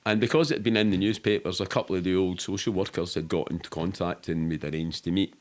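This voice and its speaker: A man's voice: deep voice, fast. A middle-aged man with a Glaswegian Scottish accent, telling anecdotes casually in a candid, rambling, sweary way. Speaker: podcast guest.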